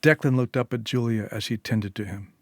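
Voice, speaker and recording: Male storyteller, scripted short story narration, mid-range pitch, very dry recording. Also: fairly quick, vocal fry, no room sound.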